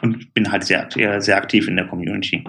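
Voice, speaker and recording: A man's voice: deep voice, fairly even intonation, fairly quick, gravelly, sharp tone, husky. Hesitant, joking man in his thirties. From Germany. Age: 30s. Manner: hesitant, full of fillers, playful. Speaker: podcast co-host. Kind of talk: casual podcast chat.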